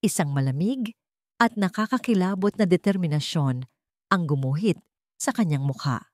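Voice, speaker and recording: A woman narrates an audio drama in a somewhat low voice, recorded dry and close. Her delivery is solemn and suspenseful, full of feeling, in the manner of a scripted storyteller. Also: animated, normal speed, a little mellow, dry recording.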